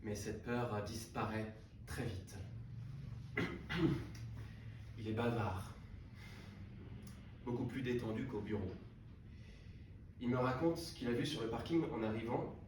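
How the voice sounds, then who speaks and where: A man reading a literary novel aloud at a book prize event, unhurried and with frequent hesitations and restarts. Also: mid-range voice. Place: salon of a literary hotel. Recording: echoey room, distant mic, background noise.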